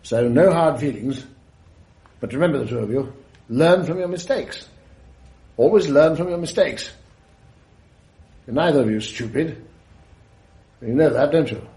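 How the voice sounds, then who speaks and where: An actor playing a middle-aged English schoolmaster, blustering, clipped and teasing, in a radio drama. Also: male voice, a little high, lively intonation, a little slow, pauses often, warm tone. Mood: wry, firm. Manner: clipped, bantering, mock-stern, old-fashioned.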